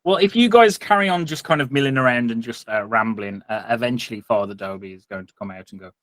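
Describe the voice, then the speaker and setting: A man's voice: mid-range voice, fast, fairly bright tone, dry recording. A man improvising casual, loosely phrased narration as the game master of a tabletop game.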